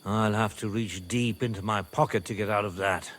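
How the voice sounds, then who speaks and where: An actor playing a middle-aged medieval nobleman and father, speaking in a measured, wry and wary manner for a video game. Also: on the low side, steady tone, fairly bright tone. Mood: grim, confiding. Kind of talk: video game cutscene acting.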